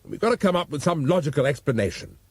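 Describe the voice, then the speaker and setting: A man voicing a loud, exasperated, foul-mouthed police commissioner. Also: fairly high pitch, big pitch swings, fast talker, breathy edge, exaggerated voice.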